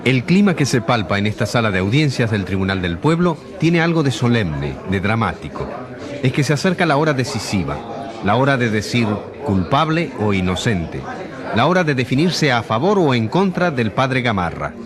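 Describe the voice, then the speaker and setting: An actor playing a solemn announcer, giving dramatic scripted narration for a radio courtroom drama over a crowd. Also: male voice, mid-range voice, sing-song, moderate pace, natural voice. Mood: suspenseful. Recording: crowd murmur behind.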